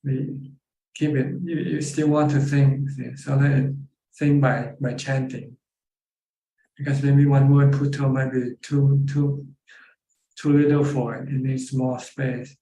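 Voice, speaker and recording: A man with a Thai accent who teaches meditation, answering a caller's questions on a live video call in a calm, patient, plain instructive way. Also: medium pitch, slow, reverberant, a little hiss or hum, rough recording.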